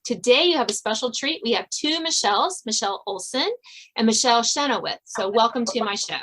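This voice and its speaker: A woman's voice: on the high side, expressive, fairly quick, a bit bright. A woman hosting an interview podcast, welcoming her guests in a friendly, upbeat way. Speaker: podcast host.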